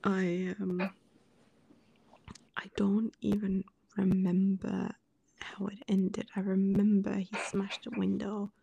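A woman talking in a soft, slow whisper about her own past, calm and measured. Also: medium pitch, creaky, lo-fi sound, slight background noise. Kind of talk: whispered confessional conversation.

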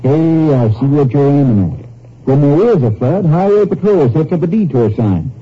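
An actor playing a helpful, plain-spoken small-town sheriff, folksy and easygoing, in 1950s radio drama style. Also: male voice, on the high side, lively intonation, normal speed, breathy edge. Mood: folksy, puzzled. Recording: muffled, noisy old radio recording.

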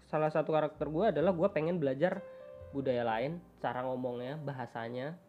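Man, from Java, podcast host, lively slangy chat, dull sound, soft music behind. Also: a little high, a little fast. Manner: animated, chatty, slangy. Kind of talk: casual chat.

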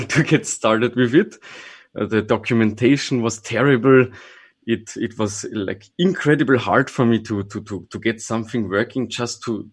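A man's voice: mid-range voice, slightly rough. A man, a trainer and consultant, talking casually as a podcast guest and thinking aloud with restarts. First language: German. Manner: relaxed, thinking aloud with restarts and small laughs.

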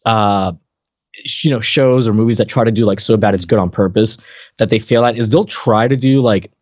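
A man's voice: lowish voice, brisk, darkish tone, dry recording. A man co-hosting a podcast in casual chat, eagerly jumping in and restarting his sentences. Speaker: podcast co-host. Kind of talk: casual chat recapping a comedy show. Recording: dry, slightly dull podcast audio.

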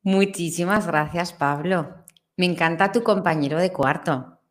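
A woman's voice: fairly low pitch, measured pace. A woman from Spain, a singing teacher, chatting enthusiastically as a guest with a Spanish accent. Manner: enthusiastic, expressive. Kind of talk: casual interview chat.

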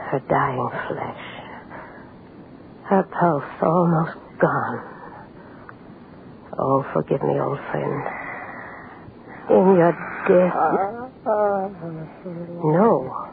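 A woman playing a grave, anguished doctor in an old radio horror play, speaking in halting, dramatic phrases. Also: rumbling low, creaky, exaggerated voice. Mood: tense, anguished. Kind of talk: 1940s radio horror acting. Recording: noisy, tinny old radio recording.

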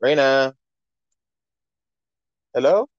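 Animated man hosting, dull lo-fi audio. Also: medium pitch, very slow, dry recording. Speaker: interview host. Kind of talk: casual phone interview chat. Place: remote phone call. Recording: dull, lo-fi phone call audio.

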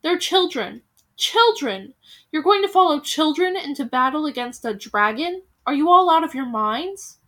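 A scornful, muscular bald man yells his doubts across the square, put on by a woman reading aloud. His voice sits high and carries hard with scoffing force. It is a storybook read-aloud. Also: normal speed, poor audio. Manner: shouting, scoffing.

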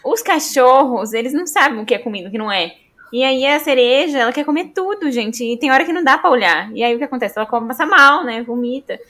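A woman's voice: sits high, animated, fast talker, pushed and bright. A Brazilian woman hosting a podcast in a casual, chatty style. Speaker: podcast host, trained journalist. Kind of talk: casual chat.

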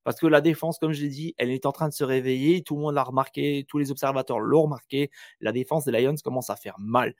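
A man co-hosting a casual sports podcast, animated and teasing, firing off quick questions. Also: medium pitch, no echo, studio quality. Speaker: podcast co-host.

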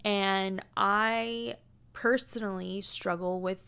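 Chatty woman in her twenties, gravelly. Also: mid-range voice, fairly even intonation, slow pace, a bit bright. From Washington State, USA. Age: 20s. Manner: frank, chatty, heavy use of "like". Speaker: podcast host. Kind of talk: casual solo podcast chat.

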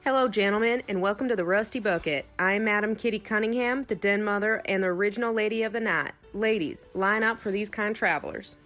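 A woman's voice: medium pitch, brisk, hardly any pauses, a bit bright, soft background music, close to an everyday voice. Worldly saloon madam played by a woman. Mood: showy welcome. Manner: showy, inviting hostess patter. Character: welcoming, worldly Old West brothel madam. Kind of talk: comic Western audio drama acting.

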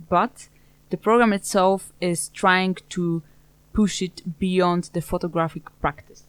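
A woman hosting an interview podcast, asking polite, measured questions. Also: lowish voice, clean tone, no echo. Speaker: podcast host.

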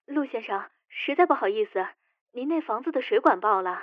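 A woman's voice: on the high side, animated, fairly quick, no echo, close to an everyday voice. An actress playing a polite, apologetic female building manager in an audio drama, heard through a thin phone-like sound. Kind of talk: Chinese audio drama acting.

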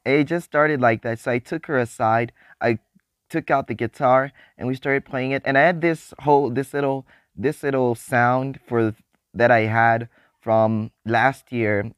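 A man's voice: a little slow, clean tone, no room sound, clean studio sound. A seventeen-year-old young man, chatting in a faith vlog, happy and rambling with frequent restarts and laughs. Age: teens. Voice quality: voice newly deepened. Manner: excited, happy.

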